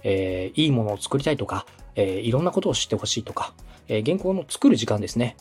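A man's voice: mid-range voice, expressive, quick pace, darkish tone, slightly rough, soft background music. A man from Osaka hosting a podcast and coaching with polite, encouraging, explanatory advice. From Osaka, Japan. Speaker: podcast host, coach, radio director. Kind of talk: instructional advice in a podcast dialogue.

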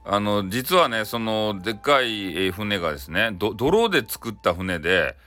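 Lively man storyteller with Hakata accent. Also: fairly low pitch, normal speed, soft background music. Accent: Hakata Japanese. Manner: chatty, playful, with spoken sound effects. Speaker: storyteller, audio channel host. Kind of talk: casual improvised folktale telling in dialect.

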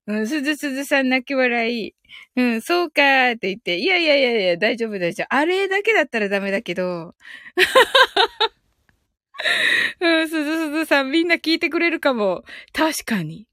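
A woman's voice: sits high, big pitch swings, moderate pace, bright, forceful tone, no echo, studio quality. A woman hosting a casual live audio stream, chatting politely with her listeners and often breaking into giggles.

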